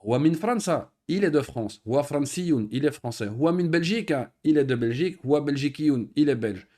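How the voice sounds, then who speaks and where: A man's voice: a little high, animated, dark tone. Patient man teaching Arabic in French. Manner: reads Arabic lines, then explains them in French; patient, repeats words slowly with pauses and fillers. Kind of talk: recorded online lesson.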